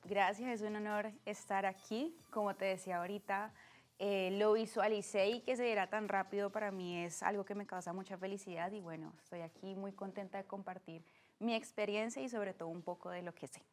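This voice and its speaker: Young cali woman on a podcast, warm and earnest, lots of "eh", middle pitch. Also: steady tone, brisk. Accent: Colombian, from Cali. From Colombia. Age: 20s. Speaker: guest, art director. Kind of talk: casual interview.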